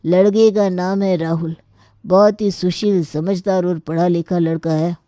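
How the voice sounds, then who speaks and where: Woman as a mellow, reassuring matchmaking priest. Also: lowish voice, steady tone, unhurried, no echo, clean studio sound, close to an everyday voice.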